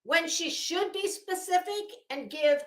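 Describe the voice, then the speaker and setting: A woman's voice: extremely high pitch, a little slow, roomy sound. A woman hosting a live stream, reading aloud dramatically with mocking, drily sardonic commentary.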